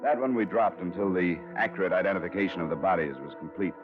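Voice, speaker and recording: A low-pitched, hard-boiled American investigator played by a male actor in a vintage radio crime drama. He is terse, cool and probing, with clipped noir delivery. The old broadcast sounds dull, with music behind. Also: fast, lightly acted. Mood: terse, matter-of-fact. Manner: terse, matter-of-fact, probing. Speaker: lead actor and narrator. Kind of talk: 1950s radio detective acting, clipped noir narration.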